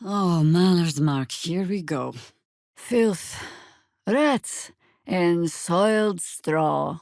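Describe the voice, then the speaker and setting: A woman playing a seasoned older druid warrior in a video game, wry and commanding, with dry, formal, archaic phrasing and a measured, weathered delivery. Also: fairly low pitch, gravelly, a little mellow, no echo, exaggerated voice. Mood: forceful. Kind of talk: video game character acting.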